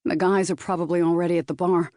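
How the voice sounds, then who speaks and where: Woman putting on a shrewd, mellow male vampire lover. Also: fairly low pitch, steady tone, fast talker, no echo, studio quality, close to an everyday voice. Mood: flirtatious. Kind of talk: audiobook character dialogue.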